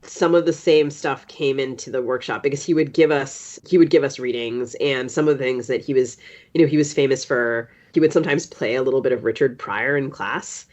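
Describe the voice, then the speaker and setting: A woman's voice: a bass voice, fairly quick, a little mellow. A woman guesting as a writer on a podcast, chatting reflectively and breaking off her own sentences as she recalls. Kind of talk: casual conversational podcast interview.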